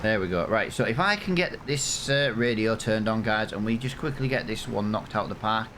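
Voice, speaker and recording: Chatty English man, low voice, humming room. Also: expressive, a little fast, noisy background. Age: 30s. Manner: chatty, rambling, self-mocking. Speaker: gaming channel host. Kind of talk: casual let's-play commentary.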